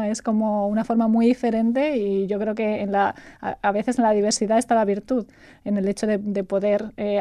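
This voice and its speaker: A woman from Spain, a guest talking about her consulting work in a relaxed interview, chatty and laughing often, repeating words as she searches for them. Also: medium pitch, dry recording. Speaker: guest, artificial intelligence consultant.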